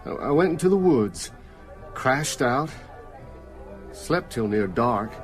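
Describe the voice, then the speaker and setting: An actor playing a sick, frightened small-town working man in a radio drama, speaking in a weary, halting, dazed way. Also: mid-range voice, expressive, soft background music. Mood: exhausted, haunted.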